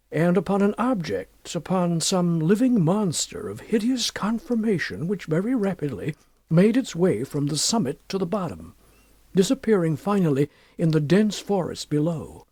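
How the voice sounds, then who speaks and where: A man narrating a classic horror story as an audiobook, in a formal, measured, dramatic literary style with deliberate phrasing. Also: a little high, warm tone, slightly rough, no echo.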